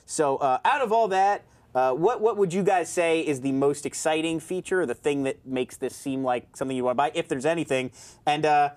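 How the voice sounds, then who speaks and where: A man in his thirties hosting a casual tech news chat in a studio, animated and gesturing, often restarting his sentences, on a lapel mic. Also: fairly high pitch, a little fast. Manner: animated, hands moving, many restarts. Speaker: host. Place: studio with round table, dark backdrop.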